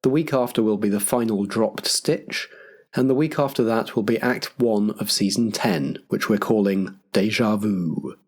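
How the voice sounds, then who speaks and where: A man's voice: lowish voice, normal speed, slightly rough. Man hosting with scripted announcements. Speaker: podcast host and compere.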